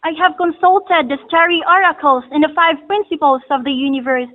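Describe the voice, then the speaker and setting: A woman's voice: high voice, fairly quick, natural voice. A woman playing an ominous wise-woman astrologer in a stage drama, pronouncing prophecy in a formal, oracular manner. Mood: solemn, ominous. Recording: muffled phone-like audio.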